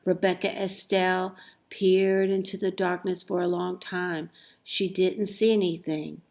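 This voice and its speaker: A woman reading a children's story aloud expressively, with deliberate, emphatic phrasing and dramatic pauses. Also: lowish voice, little pitch movement, creaky, a little mellow. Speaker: storybook reader.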